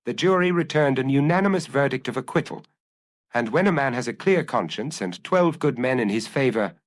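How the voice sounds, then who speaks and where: Man playing a cornered, nervous suspect, lifted pitch. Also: lively intonation, brisk, natural voice. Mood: defiant bluffing. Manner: nervous, defensive, struggling to sound unconcerned. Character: fearful, cornered male murder suspect feigning indifference. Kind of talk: audiobook character acting.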